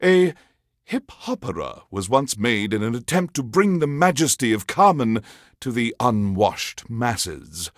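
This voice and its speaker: Theatrical, pompous alien warlord radio DJ. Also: male voice, mid-range voice, very animated, measured pace, no room sound, studio quality. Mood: smug, grand. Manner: pompous, grandiose, savoring each phrase. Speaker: classical music radio host. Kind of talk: theatrical scripted radio DJ monologue.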